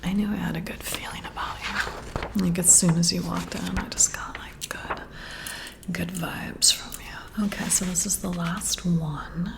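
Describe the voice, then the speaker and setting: A woman performs a flirty boss in a close-miked ASMR roleplay. Her voice is a breathy whisper with a crackle of fry at the edges. She takes her time, leaving drawn-out pauses and keeping an intimate, intrigued manner. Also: on the low side, fairly even intonation. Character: flirty, intrigued female boss giving a job interview. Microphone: close-up ASMR microphone.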